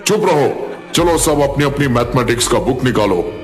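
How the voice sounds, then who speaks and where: A man's voice: mid-range voice, expressive, moderate pace, soft background music, some chatter behind, lightly acted. A man playing a stern, authoritative schoolteacher who admonishes his class in a cartoon. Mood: scolding. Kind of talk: Hindi cartoon dialogue.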